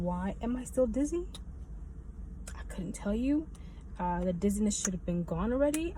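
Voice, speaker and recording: A woman's voice: mid-range voice, dark tone, poor audio. A woman talking casually in a personal vlog update, rambling and repeating her phrases as she goes. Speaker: video blogger giving a health update. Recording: faint background noise.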